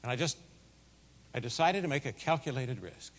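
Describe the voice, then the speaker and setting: A middle-aged man giving a keynote speech, telling a folksy, animated story in a big voice. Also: medium pitch, normal speed. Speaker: keynote speaker. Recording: long hall reverb.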